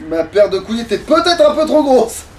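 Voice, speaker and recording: A man's voice: very high-pitched, big pitch swings, a little fast, a little mellow. A man chatting casually while playing a game, grumbling in coarse slang. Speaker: let's play co-host playing the game. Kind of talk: casual gaming chat. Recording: distant mic with faint noise.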